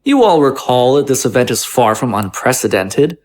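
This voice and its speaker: Actor as male statesman, articulate, formal, persuasive, mid-pitched, political audio drama. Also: very animated, normal speed, a little mellow, natural voice. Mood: reassuring, pointed. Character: smooth-talking, conciliatory male government official, argumentative.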